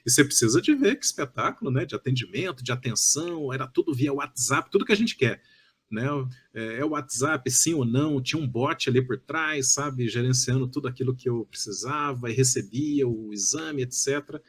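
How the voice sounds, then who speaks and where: A man in his early fifties with a Brazilian accent and a husky edge to his voice. He hosts a conversational podcast, speaking at a medium pitch in wordy, effusive turns peppered with little check-in questions. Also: lively intonation, fairly quick. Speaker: podcast host.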